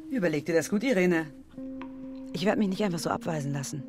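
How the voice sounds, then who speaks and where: A woman's voice: fairly low pitch, fast, over music, lightly acted. An actress playing a menacing woman intruder in a radio play, taunting and insinuating as she speaks and narrates. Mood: taunting, threatening. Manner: needling, insinuating. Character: menacing, taunting female intruder who knows her secret. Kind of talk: radio play dialogue and second-person narration.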